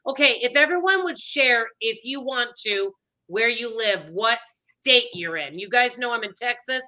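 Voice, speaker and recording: A woman's voice: on the high side, animated, measured pace, bright, forceful tone, rough recording. A woman with a Minnesota accent, hosting a casual live-stream chat in an upbeat, rambling way. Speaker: channel host.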